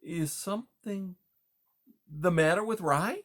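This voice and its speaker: A tiny female storybook mouse, voiced by a narrator, timid and anxious. She speaks in a high, soft, hesitant voice that stumbles over its words. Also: big pitch swings, a little slow, breathy edge, full character voice. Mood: worried. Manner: hesitant, stammering. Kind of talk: audiobook character dialogue.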